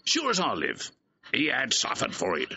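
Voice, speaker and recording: An actor playing an earthy, aggrieved clownish servant in a classical comedy audio drama, rambling theatrically. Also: male voice, mid-range voice, wildly swooping pitch, moderate pace, vocal fry, bright, forceful tone, husky, no echo, full character voice. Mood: aggrieved, confiding. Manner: rambling, theatrical, conversational soliloquy with mimicked voices. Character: comic male clownish servant scolding his dog, aggrieved, earthy. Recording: dull, slightly noisy restored old recording.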